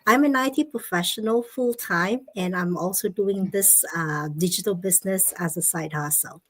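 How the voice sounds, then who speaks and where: A woman from Canada introduces herself as a guest on a live video call. She is friendly and pauses with small fillers, and her voice is mid-pitched. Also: a bit bright, low-quality recording. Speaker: panel guest.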